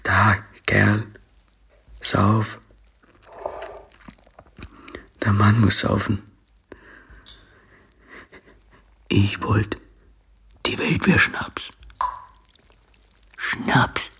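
An actor playing a drunken, boastful military bully in a German radio drama, raspy and threatening. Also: male voice, lowish voice, monotone, very slow, long pauses, sharp tone, in a whisper, exaggerated voice. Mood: drunken slurring. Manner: swaggering, threatening.

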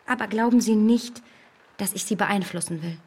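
An actress playing a confident, manipulative young gentlewoman in a period radio drama, with a poised, measured and insistent delivery. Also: mid-range voice, slightly rough, natural voice. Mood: coolly insistent.

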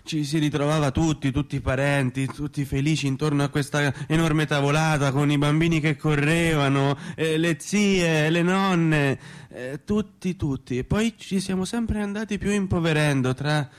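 A man's voice: fairly high pitch, steady tone, normal speed, few pauses, close to an everyday voice. An actor playing a nostalgic, slightly pompous provincial man, mixing inflated words with homely talk in a stage drama. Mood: wistful.

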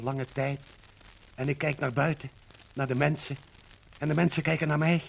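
An actor playing a Dutch man in hiding who narrates the liberation in a solemn, dramatic, deliberate way, in 1950s radio docudrama style. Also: mid-range voice, creaky, a little mellow. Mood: moved, solemn, then excited, overcome. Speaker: first-person narrator. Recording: noisy 1950 radio recording.

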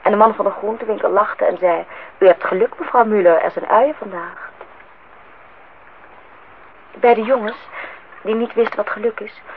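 An actress playing a hesitant woman who plays along as wife and mother in a Dutch radio play, tentative yet expressive. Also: on the high side, close to an everyday voice. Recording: dull old radio recording, faint noise.

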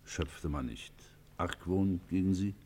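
A bass-deep solemn magistrate hearing a confession. He is earnest and exhorting, pressing toward repentance. His phrasing is stately and rhetorical, in classic radio play style. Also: takes it slowly, darkish tone, breathy edge, heavily acted. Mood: probing, consoling.

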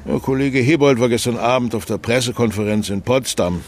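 A man's voice: mid-range voice, brisk, slight background noise, natural voice. An actor playing a brusque, impatient male newsroom chief with a clipped, commanding manner in a radio drama. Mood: briefing. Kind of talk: naturalistic radio drama acting.

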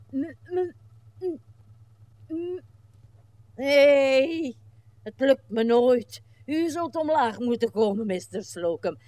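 An actress playing a weary old woman who fusses and complains in a radio play, with plaintive, halting delivery. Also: high voice, a little mellow, no room sound. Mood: straining, indignant. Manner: plaintive, halting, muttering asides and sudden exclamations. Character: weary, fussing, complaining old lady, stiff and breathless.

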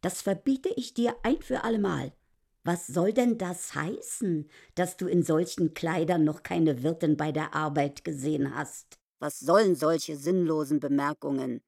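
An actress playing a haughty, easily offended innkeeper in a radio play, speaking imperiously and sharply. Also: female voice, low voice, a little slow, creaky, full character voice. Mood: indignant. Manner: imperious, indignant, sharp-tongued. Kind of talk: literary radio play acting.

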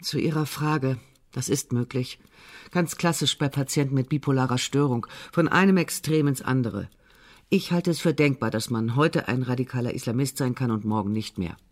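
An actor playing a composed, probing psychotherapist in a radio drama, measured, matter-of-fact and drily blunt. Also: male voice, mid-range voice, lightly acted. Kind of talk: naturalistic German radio drama acting.

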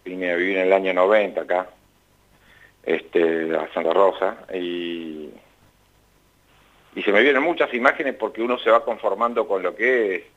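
Low-voiced Argentine man, musing. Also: normal speed, long pauses, narrow, tinny audio. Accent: Argentine Spanish. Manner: reflective, rambling, laughs often. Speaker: guest. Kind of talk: casual radio interview.

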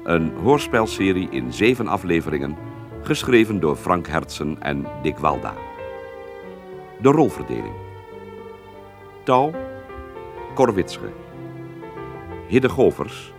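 A man reading a formal, scripted announcement as the announcer of a radio drama. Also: low-pitched. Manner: formal, measured. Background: music.

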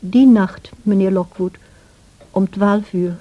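A woman playing a sorrowful, gentle servant who narrates past events in a radio play in a measured way. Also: medium pitch, close to an everyday voice. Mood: solemn, tender. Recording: dull old radio play recording.

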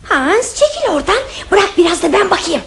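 A cheeky, mischievous younger sibling in a radio play. The voice is very high and lively, full of teasing. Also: female voice, brisk, gravelly, slight background noise, full character voice. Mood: playful. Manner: teasing, playful. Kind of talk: radio drama acting.

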